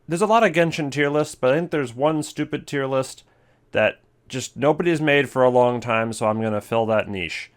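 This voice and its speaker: A man's voice: medium pitch, normal speed, smooth, soft background music. A man hosting a video with casual, rambling, offhand gaming commentary. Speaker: video host.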